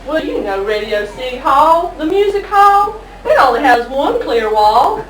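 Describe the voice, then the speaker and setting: A woman's voice: high voice, big pitch swings, measured pace, a little hiss or hum. A woman playing a sarcastic, exasperated wife who gripes comically about her husband in a stage sketch. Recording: echoey hall from far, audience.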